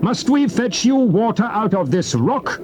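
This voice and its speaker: An angry Bible-drama prophet, high-pitched and declamatory. He rebukes with slow, drawn-out indignation. There is clear noise on the old tape. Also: very animated. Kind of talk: dramatized scripture reading.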